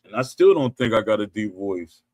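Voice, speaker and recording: A man's voice: fairly low pitch, expressive, fairly quick, darkish tone, no echo. A middle-aged man hosting a podcast, telling stories in a casual, rambling way, often restarting and correcting himself, and reading out live chat comments. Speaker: podcast host. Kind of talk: casual livestream storytelling chat.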